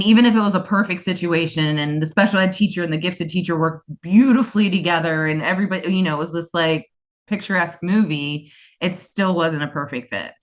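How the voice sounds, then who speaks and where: A woman in her forties with a US East Coast accent, a talkative and enthusiastic guest who rambles a little in casual interview conversation. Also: low voice. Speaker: guest, school co-founder.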